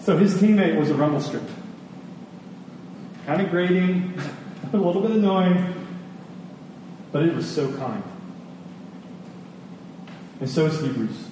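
A man giving a sermon in a conversational way, telling personal stories with emphatic repetition and pauses. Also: fairly high pitch, expressive, mellow. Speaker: preacher. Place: large reverberant room with an audience. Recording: echoey hall, distant, faint noise.

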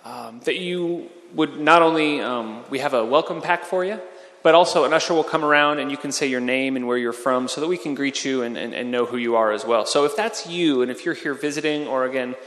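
A man's voice: mid-range voice, fairly quick, a bit bright. A man leading a church service, welcoming visitors and giving announcements in a friendly, inviting way with fillers. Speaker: worship leader. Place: large church sanctuary. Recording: echoey church hall.

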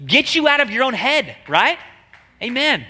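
A man's voice: very high voice, very animated, unhurried, bright, forceful tone. A man with a nasal voice, a guest preacher giving a casual, joking sermon full of slang. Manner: chatty, joking, slangy delivery.

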